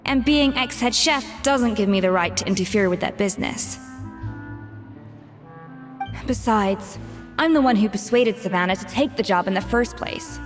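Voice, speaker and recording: A woman playing a proud, exacting young chef in an anime game, brisk, frank and self-assured. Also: mid-range voice, expressive, faint music behind, lightly acted. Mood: composed, frank.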